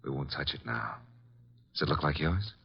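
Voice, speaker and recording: Watchful gumshoe, deep voice, clipped casual phrasing, vintage radio mystery, lo-fi sound. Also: male voice, animated, moderate pace, vocal fry, breathy edge, full character voice. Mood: cautious. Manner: casual, clipped, thinking aloud. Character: streetwise, watchful male private detective's legman. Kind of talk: old-time radio detective drama acting. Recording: dull, lo-fi old radio recording.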